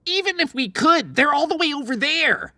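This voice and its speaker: A woman's voice: high-pitched, big pitch swings, moderate pace, pushed and bright, no echo, studio quality, exaggerated voice. A woman playing a grouchy, sarcastic male scientist in an audiobook drama, exasperated and snarky. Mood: incredulous.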